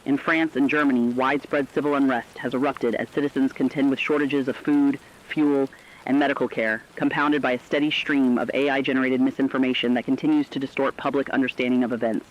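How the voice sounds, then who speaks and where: A man voicing a serious news correspondent in a dystopian radio broadcast, reading formal, measured scripted reports. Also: medium pitch, flat delivery, dull audio, close to an everyday voice.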